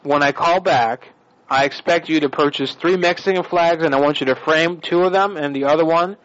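A man's voice: a little high, normal speed, fairly bright tone. A man making a prank phone call on a radio show, badgering and bossy in his accusations.

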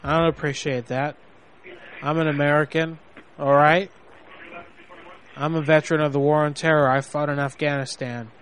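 A man's voice: a little high, flat delivery, moderate pace, pauses often, a bit bright, a little hiss or hum, lightly acted. A man playing an indignant caller who poses as an army veteran in a prank phone call. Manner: indignant, blustering, self-righteous. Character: angry man posing as a boastful army veteran.

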